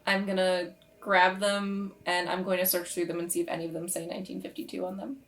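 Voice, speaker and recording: A woman's voice: fairly low pitch, little pitch movement, a little fast. Woman playing a tabletop roleplaying game. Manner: informal, conversational. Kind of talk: casual in-character improvisation.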